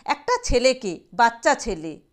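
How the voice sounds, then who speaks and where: A woman voicing a crafty mother who talks softly and affably to her sick daughter in an audio story. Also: fairly high pitch, animated, measured pace. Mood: soft coaxing. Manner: soft, affable, coaxing. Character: coaxing, crafty working mother of a sick girl.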